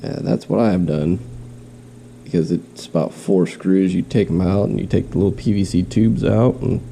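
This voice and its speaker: Deep gravelly man with a stuffy nose. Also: fairly even intonation, normal speed, dark tone, slight background noise. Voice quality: nasal. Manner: rambling, with restarts. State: stuffy nose, slight cough. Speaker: fishing vlogger. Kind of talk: casual show-and-tell. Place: home, in quarantine.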